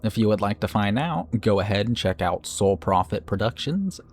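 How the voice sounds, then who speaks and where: A man's voice: lowish voice, expressive, normal speed. A man narrating a video review in a conversational scripted style, with informal, chatty asides as host. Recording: narration over background music. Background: background music.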